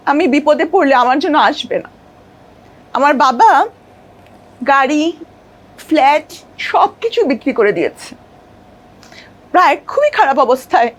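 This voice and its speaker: High-pitched Bangladeshi woman speaking emphatically. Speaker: interview guest, doctor. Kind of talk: personal life-story interview.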